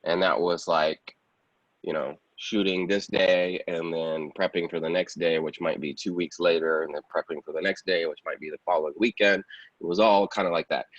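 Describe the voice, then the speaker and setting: A man with a low voice speaks casually as an interview guest. The sound is roomy and slightly muffled. His phrasing is loose and conversational. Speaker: guest, actor and film producer.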